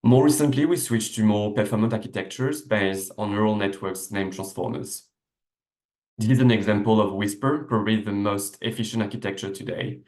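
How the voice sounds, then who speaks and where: A man gives a seminar talk from his desk over a video call. His voice sits a little low, and he explains things step by step, with plenty of fillers and restarts. The laptop mic picks up a noticeably echoey room around him. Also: a little fast, darkish tone. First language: French. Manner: explanatory, with frequent "uh" fillers and self-corrections. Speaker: researcher giving a seminar talk. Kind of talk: academic lecture over slides.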